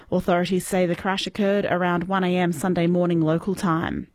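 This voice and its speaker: An Australian woman with a loud voice, reading a radio news bulletin and then chatting cheerfully in a studio. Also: on the low side. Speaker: newsreader. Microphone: studio microphone.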